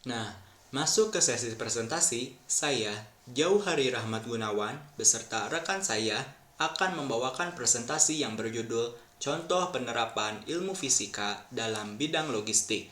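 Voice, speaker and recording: A man's voice: medium pitch, lively intonation, bright, forceful tone. Young man presenting in a roomy echo. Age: young adult. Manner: formal, measured, read from a script. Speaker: student presenter. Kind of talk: reading a class presentation.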